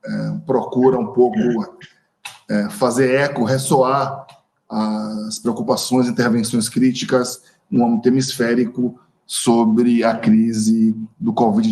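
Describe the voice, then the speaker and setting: A man introducing a guest at an online academic seminar, halting often with fillers and self-corrections. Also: mid-range voice, a little mellow. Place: video call.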